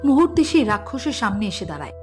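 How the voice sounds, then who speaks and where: A woman's voice: mid-range voice, very animated, fast talker, over music. A woman giving scripted narration for a fairy-tale cartoon.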